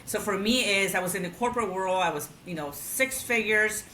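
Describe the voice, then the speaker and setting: Bright woman CEO. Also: mid-range voice, a little fast, echoey room, slight background noise, low-quality recording. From Colombia. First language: Spanish. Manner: warm, animated storytelling with fillers. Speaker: CEO and guest. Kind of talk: interview storytelling.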